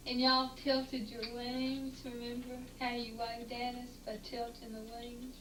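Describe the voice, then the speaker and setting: A woman's voice: fairly high pitch, completely flat delivery, unhurried, fairly bright tone. A woman of forty, chatting with her family, reminiscing and prompting the others to speak up. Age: 40s. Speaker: mother in a family chat. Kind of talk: casual family chat. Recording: roomy, distant, faint hiss, 1980s home tape.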